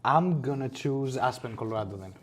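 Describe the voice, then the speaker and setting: A man in his twenties talks easily during a speaking test. His mid-range voice sounds relaxed and friendly. He answers questions at a comfortable pace. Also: mid-range voice, brisk. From Greece. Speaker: graduate student, test candidate. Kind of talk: exam conversation.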